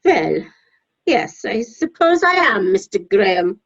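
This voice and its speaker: A woman's voice: medium pitch, big pitch swings, slow, heavily acted. An amateur actress playing an apologetic, deferential cleaning woman in a radio play. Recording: muffled, lo-fi video call audio.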